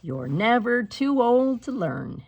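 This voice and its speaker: A woman's voice: fairly high pitch, big pitch swings, takes it slowly, gravelly, slightly rough, highs cut off, pitched above the performer's own voice, heavily acted. A woman voicing a very old, determined woman in a storybook, speaking in an emphatic, plain-spoken way. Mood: pleased, proud.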